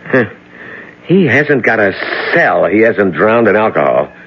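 A brisk, wry hospital doctor. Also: male voice, fairly low pitch, sing-song, gravelly, breathy edge, full character voice. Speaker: emergency room doctor. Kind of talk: 1970s radio drama acting. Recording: muffled old radio broadcast, faint hiss.

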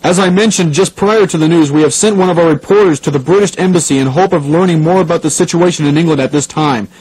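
Composed radio news anchor, slightly high, played by a man. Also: quick pace, a little mellow, breathy edge, poor audio. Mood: serious, composed. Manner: composed, formal. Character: serious male radio news anchor covering a crisis.

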